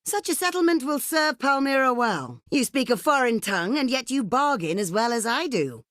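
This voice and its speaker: A woman playing a proud, shrewd desert queen in a video game, speaking in a formal, regal, measured way. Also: high-pitched, sing-song, fairly bright tone, no room sound, clean studio sound. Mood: haughty, dismissive. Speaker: game character voice actor. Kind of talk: theatrical video game voice acting.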